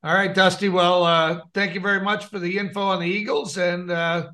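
A man's voice: high-pitched, flat delivery, normal speed, bright, forceful tone. A middle-aged American man co-hosting a podcast in casual chat, with a hesitant, rambling way of talking. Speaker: podcast co-host.

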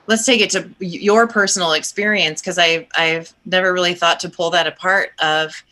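Bright-voiced woman coach hosting. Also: lowish voice. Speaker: podcast host, coach. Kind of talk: conversational interview.

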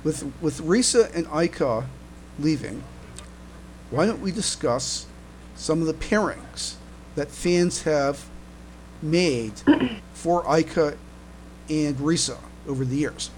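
Halting, slow-paced man on podcast. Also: fairly high pitch, lively intonation, darkish tone. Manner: halting, repeats words. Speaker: podcast host. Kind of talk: casual podcast discussion. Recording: humming noisy internet call.

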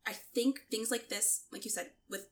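A woman's voice: fairly high pitch, steady tone, moderate pace, a little hiss or hum. A woman hosting a casual podcast interview, speaking in an encouraging, supportive way and peppering her talk with "like". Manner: encouraging, supportive, heavy use of "like". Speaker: podcast host.

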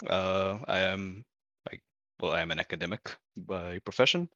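Bangladeshi man, lower bright voice, deadpan teasing, muffled lo-fi line. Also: normal speed, dry recording. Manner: dry, teasing. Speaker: academic and player. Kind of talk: casual podcast chat. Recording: dull lo-fi call audio.

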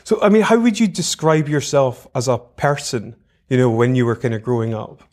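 A man hosting an interview podcast, reading a polished introduction and then chatting warmly, encouraging his guest with quick responses. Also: medium pitch. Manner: friendly, encouraging, quick backchannels.

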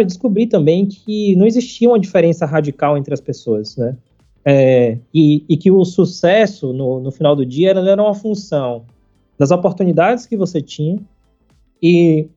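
Relaxed man with a mellow, muffled voice. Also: sits high, normal speed. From Salvador, Brazil. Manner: relaxed, hesitant, full of fillers and casual slang. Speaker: startup founder, podcast guest. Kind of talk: casual conversational interview. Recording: slightly muffled, faint background music.

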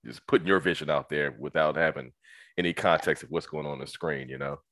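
A man hosting a casual interview, relaxed and encouraging, with frequent short agreements. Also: rumbling low, a little fast, dry recording.

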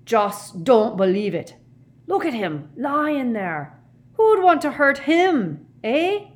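A woman voicing a huge, shaggy, anxious man with a hoarse growl and slow rustic speech in a storybook. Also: a little high, very animated, pitched above the performer's own voice. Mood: shocked.

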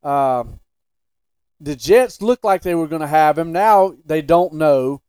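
A man's voice: a little high, animated, slow, darkish tone, clear, resonant tone, no echo, studio quality. A man co-hosting a sports podcast, debating casually in a blunt, opinionated and emphatic way.

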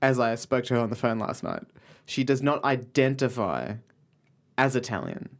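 A man's voice: mid-range voice, sing-song, normal speed, creaky. A man, a podcast guest telling stories conversationally. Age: 20s. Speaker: podcast guest, comedy writer. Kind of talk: casual conversational storytelling.

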